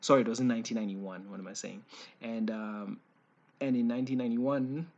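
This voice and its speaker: Slightly raspy South African man. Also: mid-range voice, fairly even intonation, fairly quick, a little mellow, dull audio. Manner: hesitant, conversational, self-correcting. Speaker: online commentator. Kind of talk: informal spoken opinion piece.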